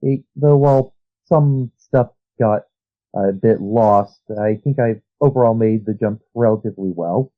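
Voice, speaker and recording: A man's voice: on the low side, measured pace. A man speaking as a guest writer in a casual interview, rambling and analytical with frequent restarts. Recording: dull lo-fi sound.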